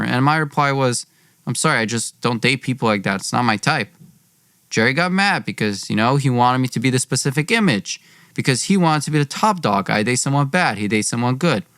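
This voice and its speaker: A man hosts a storytelling podcast in colloquial, earnest speech. His pitch is average for a man, and the recording is dry with almost no room sound. He acts out snatches of conversation within his narration. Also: fairly bright tone, no echo. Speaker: podcast host. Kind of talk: personal storytelling with re-enacted dialogue.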